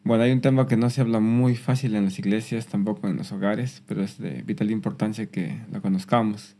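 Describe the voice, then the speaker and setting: A soft-spoken man shares his personal testimony. His voice is lowish and mellow, and he speaks reflectively, sometimes pausing and restarting a phrase. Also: steady tone. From Peru. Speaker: guest.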